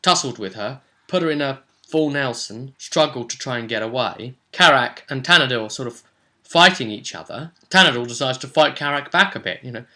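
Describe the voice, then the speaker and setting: British man, very bright forceful voice. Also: medium pitch, animated, moderate pace. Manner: rambling, colloquial storytelling. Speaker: game master recapping a tabletop role-play session. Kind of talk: casual spoken recap.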